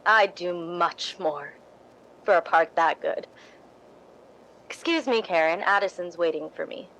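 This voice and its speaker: A woman playing an ambitious, scheming, falsely sweet young stage actress in a radio drama, deliberate and insinuating. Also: on the low side, a bit bright, a little hiss or hum, low-quality recording. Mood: coolly menacing. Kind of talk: recreated 1950s radio drama acting.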